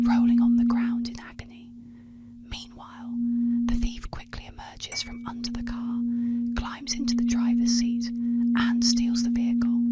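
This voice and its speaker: Female ASMR narrator: hushed breathy whisper, even delivery, very noisy ambient bed. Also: on the high side. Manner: gentle, hushed, measured storytelling. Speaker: ASMR host and storyteller. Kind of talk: whispered ASMR scripted narration of urban legends. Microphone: close microphone.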